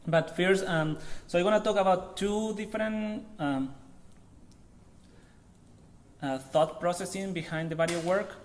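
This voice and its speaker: A man from Chile in his thirties with a Chilean accent, giving an informal artist talk to an audience in a halting way, searching for words. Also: high-pitched, a little hiss or hum. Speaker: visiting artist.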